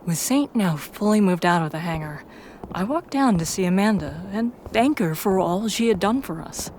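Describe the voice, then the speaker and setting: A woman's voice: mid-range voice, expressive, moderate pace, a little mellow. A woman reading scripted first-person story narration. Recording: faint background noise.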